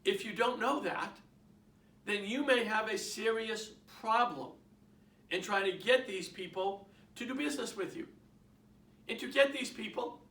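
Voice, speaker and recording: A man's voice: high-pitched, unhurried, fairly bright tone, recorded from a distance. A man with a Boston accent giving an emphatic motivational sales talk to realtors, repeating his points and asking the audience questions. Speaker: real estate sales trainer. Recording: roomy, from off the mic.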